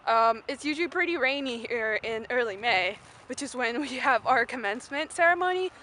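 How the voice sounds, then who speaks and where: A young woman with a fairly high voice talks as a student vlogger, casual and unscripted. She rambles informally, leaning on fillers as she gives a walking tour. The recording is handheld outdoor audio with faint noise behind her. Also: expressive, fairly quick, pushed and bright. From Malaysia. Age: young adult. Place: mostly outdoors on a university campus.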